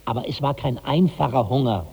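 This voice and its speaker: Husky, creaky, troubled companion. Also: male voice, medium pitch, brisk, mellow. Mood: doubtful. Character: troubled, puzzled male companion and witness of a glutton. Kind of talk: 1960s German radio drama acting. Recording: dull old radio recording, long reverb.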